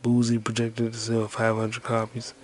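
A man in his twenties rambling alone on his podcast in a detached, low-energy, half-whispered way. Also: completely flat delivery, moderate pace. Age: 20s. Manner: low-key, detached, mumbling. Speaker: podcast host. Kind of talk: rambling solo podcast monologue.

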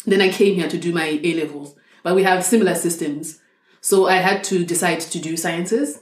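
Young woman from Zimbabwe, interview guest, lowish voice, roomy echo, thoughtful explainer. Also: fairly even intonation, normal speed. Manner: thoughtful, explains at length with restarts. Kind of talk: casual interview conversation. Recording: echoey room.

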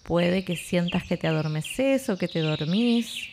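Woman, Rioplatense accent, low creaky voice, calm guided meditation, soothing instruction, birdsong and some noise behind. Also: measured pace. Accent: Rioplatense Spanish. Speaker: yoga nidra instructor.

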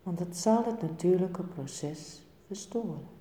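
A woman teaching yoga nidra, giving guided relaxation instructions in a calm, soothing, measured way with pauses between short phrases. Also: fairly low pitch. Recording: echoey room with long reverb.

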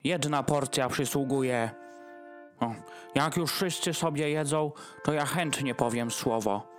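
A man's voice: medium pitch, a little slow, faint music behind, close to an everyday voice. A man playing a doting, long-winded dog host in a rhymed radio play, speaking in a ceremonious manner. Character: Freddy, doting, long-winded male dog hosting Christmas dinner.

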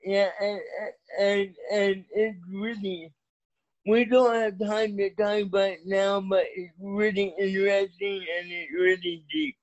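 A man's voice: high-pitched, unhurried, muffled recording. A man speaking as a podcast guest in casual chat, hesitant and full of fillers.

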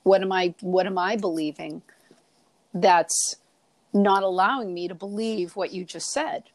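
A woman's voice: mid-range voice, unhurried, no room sound. Boston-accented woman co-host, coaching, dry close sound, mid voice. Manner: coaching, asks rhetorical questions, repeats herself. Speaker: podcast co-host. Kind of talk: reflective spiritual discussion.

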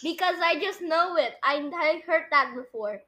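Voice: very high voice, lively intonation, normal speed, pushed and bright. A young-sounding podcast host chatting casually through a guessing game.

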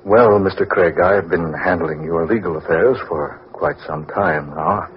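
Crooked lawyer, low voice, calculating, old radio play, dull sound. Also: male voice, measured pace. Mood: polite, deceptive. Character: scheming, smooth, calculating male lawyer swindling his client. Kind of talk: old-time radio drama acting. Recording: dull, noisy vintage radio recording.

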